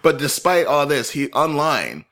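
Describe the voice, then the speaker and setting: A man's voice: on the high side, big pitch swings, unhurried, a bit bright. A male podcast host telling a story in casual chat, joking and laughing often.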